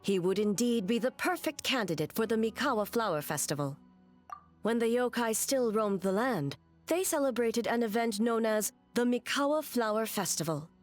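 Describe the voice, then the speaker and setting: A woman voicing a stern, unyielding ruler in a video game, formal, measured and matter-of-fact. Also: fairly high pitch, animated, soft background music, natural voice. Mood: firm. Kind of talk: animated video game dialogue.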